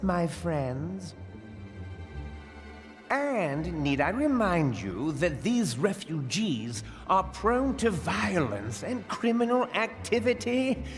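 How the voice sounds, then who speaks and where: A shrewd, haughty merchant lord for a fantasy game cutscene. He draws out his formal words slowly, with swooping, condescending emphasis. Music plays beneath the voice in a long reverberant hall. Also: high-pitched, heavily acted. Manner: pompous, condescending, measured formal speech.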